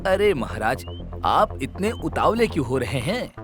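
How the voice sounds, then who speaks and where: Sly, teasing cartoon courtier, raised voice, music under it. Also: male voice, a little high, big pitch swings, a little slow. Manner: playful, teasing, persuasive. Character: witty clever male royal courtier. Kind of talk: animated storybook voice acting.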